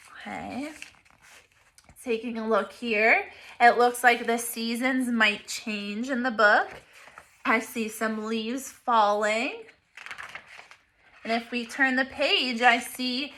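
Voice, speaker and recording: A woman teacher reading a picture book aloud to young children, explaining simply and deliberately as she goes. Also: a little high, bright, forceful tone, a little hiss or hum.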